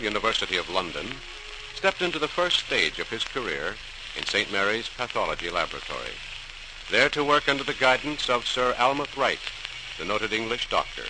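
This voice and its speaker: A man narrating a scripted story as a 1940s radio host, formal and dramatic. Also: on the low side. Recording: noisy, crackly 1940s radio transcription.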